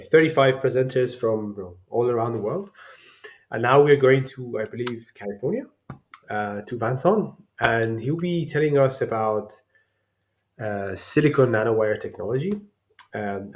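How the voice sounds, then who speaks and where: A man's voice: mid-range voice, lively intonation, normal speed. A man hosting an online conference, introducing the next speaker in a loose, friendly way with fillers. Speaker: event host.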